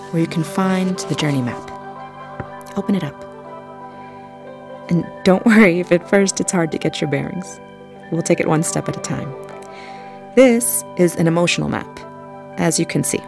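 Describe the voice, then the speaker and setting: Low, gravelly woman narrating gently. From English-speaking country. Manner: soft, gentle, addresses the listener directly. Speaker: audio tour guide. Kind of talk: scripted intimate narration. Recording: faint background music.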